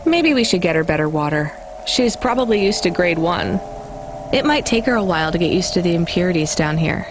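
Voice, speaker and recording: A woman's voice: lowish voice, expressive, brisk, background noise, lightly acted. A woman playing a weary, guarded but caring young female delivery driver in a video game, with a dry, informal manner. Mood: worried.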